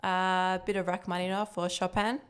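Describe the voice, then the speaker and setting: Young woman, turkish first language, low-ish smooth voice, chatty relaxed podcast guest. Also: normal speed. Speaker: guest, building cost estimator. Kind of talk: casual podcast interview.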